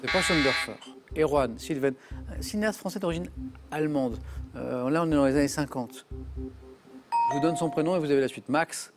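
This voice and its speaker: A man's voice: on the high side, normal speed, over music. A man hosting a TV quiz show, reading questions and joking with contestants in a studio. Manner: lively, jokey, reads questions then banters with contestants.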